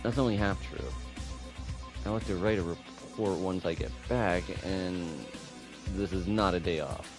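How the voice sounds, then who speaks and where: A man voicing an earnest, curious visual novel hero who coaxes gently and muses to himself. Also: sits low, measured pace, music in the background. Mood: reflective. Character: earnest, curious man courting an android, inwardly calculating.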